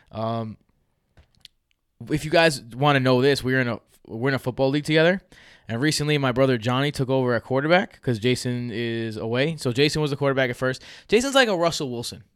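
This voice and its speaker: A man's voice: medium pitch, smooth, dry recording, studio quality. A man, hosting a fantasy football podcast in loose, joking chat with his brother. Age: 30s. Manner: animated, laughs often, loose and joking.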